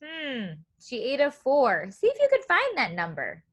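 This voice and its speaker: Female preschool teacher, high bright voice, sing-song and encouraging, reading aloud to little kids. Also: unhurried. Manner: animated, sing-song, encouraging, simple phrasing for young children. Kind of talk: children's read-aloud lesson with questions and counting.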